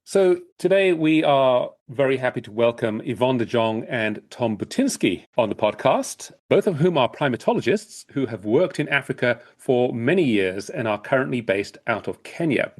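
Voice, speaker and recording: A man's voice: medium pitch, lively intonation, normal speed, few pauses. Male podcast host in easy conversation. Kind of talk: casual conversational podcast hosting.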